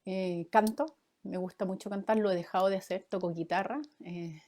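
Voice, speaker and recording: A woman in her fifties from Chile, a guest answering interview questions in a thoughtful way, with frequent pauses and fillers. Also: mid-range voice, steady tone, a little mellow. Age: 50s. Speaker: guest, former mayoral candidate and coach.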